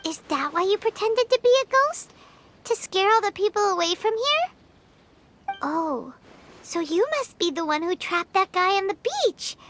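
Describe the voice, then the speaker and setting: A woman voicing a tiny, excitable, childlike fairy companion in a video game, squeaky and full of questions. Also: measured pace, a little hiss or hum, full character voice. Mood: curious, chatty. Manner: speaks of herself in third person, exclaims and questions. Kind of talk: animated video game voice acting. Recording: music playing behind the voice.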